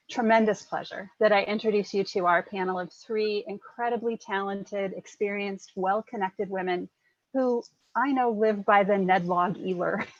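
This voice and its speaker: A woman's voice: mid-range voice, no room sound, highs cut off. A woman moderating a webinar panel, introducing the speakers in an upbeat way with light laughs. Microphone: video call.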